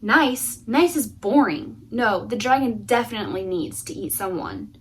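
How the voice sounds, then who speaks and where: A woman voicing a pushy, sarcastic male monster storyteller, excitable and exasperated, in a children's picture book. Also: medium pitch, measured pace, a little hiss or hum. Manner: exasperated, blunt.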